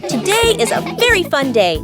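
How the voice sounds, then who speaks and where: A woman's voice: very high-pitched, wildly swooping pitch, normal speed, over loud music, over a noisy crowd. A woman reading scripted children's story narration in short, simple storybook sentences.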